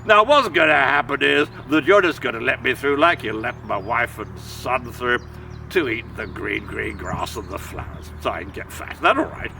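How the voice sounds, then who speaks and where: A man's voice: medium pitch, expressive, normal speed, vocal fry, sharp tone, slight background noise, pitched below the performer's own voice. A man voicing a big, pompous billy goat with a plummy, drawling, condescending manner, recorded outdoors from a distance. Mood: smug, genteel.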